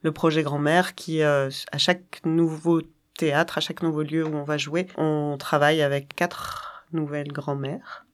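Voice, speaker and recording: A woman's voice: low voice, flat delivery, normal speed, few pauses. A woman, dramaturge of a circus company, explaining their work thoughtfully in an interview, often searching for words.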